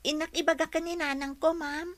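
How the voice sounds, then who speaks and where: A woman's voice: high voice, flat delivery, brisk, no echo, lightly acted. A woman playing a dutiful, helpful schoolgirl from a poor family in an audio drama, with an Ilocano accent. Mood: polite. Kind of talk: Ilocano radio-style drama acting.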